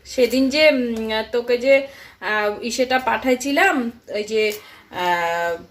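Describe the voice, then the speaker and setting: An affectionate, homely mother played by a woman. She talks in an unhurried, gently questioning way, as in an everyday phone chat with her grown son. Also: on the high side. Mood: caring, chatty. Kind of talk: staged phone-call drama, casual domestic chat.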